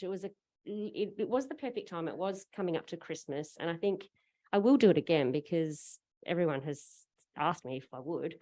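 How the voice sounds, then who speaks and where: A woman tells her fundraising story in a loose, rambling way, full of fillers and restarts. Her voice sits very low for a woman. She sounds like a parent speaking on a conference panel. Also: dark tone. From Australia. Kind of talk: casual conference panel talk. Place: conference room.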